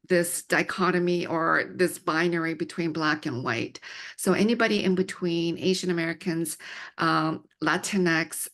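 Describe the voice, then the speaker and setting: A middle-aged woman who grew up in Canada, speaking reflectively and conversationally as a panel guest. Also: on the low side. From Canada, immigrated at age five. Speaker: panel guest, scholar and writer.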